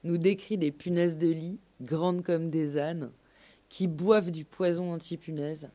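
A woman's voice: low-pitched, steady tone, measured pace, warm tone. A woman radio presenter reading a scripted documentary narration in a literary, storytelling way. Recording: live radio show with music. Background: music.

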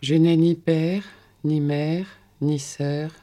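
A woman reciting a poem in a slow, measured, dramatic way. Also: deep voice, flat delivery, dark tone. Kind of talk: poetry recitation.

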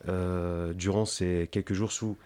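A young man with a somewhat low voice hosts a student radio program from a studio. He talks casually, stumbling often and correcting himself as he goes along. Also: steady tone, slow pace, a little mellow, clear, resonant tone. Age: young adult. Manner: hesitant, frequent fillers and self-corrections. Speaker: radio show host. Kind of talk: casual live radio hosting.

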